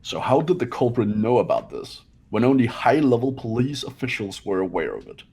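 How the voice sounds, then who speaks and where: A man's voice: medium pitch, wildly swooping pitch, fairly quick, creaky, a little mellow, slightly rough, full character voice. A man voicing a haughty, cold young rich genius who makes accusing declarations in an amateur game dub. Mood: cool, explanatory.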